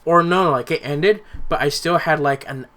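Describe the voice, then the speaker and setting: A man telling anecdotes in a casual podcast chat, in a rambling, halting way. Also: fairly high pitch, bright, forceful tone. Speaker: podcast host.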